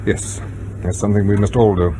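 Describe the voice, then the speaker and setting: A man's voice: lowish voice, fairly even intonation, brisk, natural voice. A man playing a stern, weary British submarine captain in a radio drama, with a clipped, dry, authoritative delivery. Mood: terse, grave. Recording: faint background noise.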